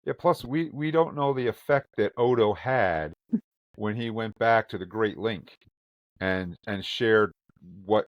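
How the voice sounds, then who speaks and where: A man's voice: fairly low pitch, unhurried, dry recording, highs cut off. A man hosting a fan podcast, chatting casually and reading news items aloud. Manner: chatty, reads articles aloud with quotes. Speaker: podcast host.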